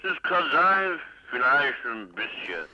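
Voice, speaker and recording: Ein Schauspieler spielt in einem Krimihörspiel der 1950er einen leicht angetrunkenen, frech stichelnden, prahlerischen Reporter am Telefon. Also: male voice, mid-range voice, very animated, slow, slight background noise. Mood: teasing, tipsy. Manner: teasing, boastful, jovial. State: slightly drunk. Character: tipsy, cheeky male reporter phoning a police inspector. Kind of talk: 1950s German radio crime drama acting. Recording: dull, band-limited old radio recording.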